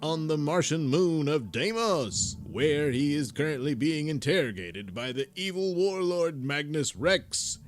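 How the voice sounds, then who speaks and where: A man's voice: on the high side, expressive, unhurried, few pauses, faint music behind. A man delivering dramatic scripted narration for an adventure serial.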